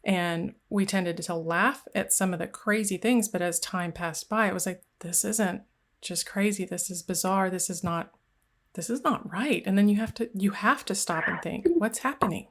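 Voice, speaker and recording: A woman's voice: medium pitch, normal speed. A woman hosting a conversational interview, reflecting on personal caregiving experience with occasional restarts. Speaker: podcast host.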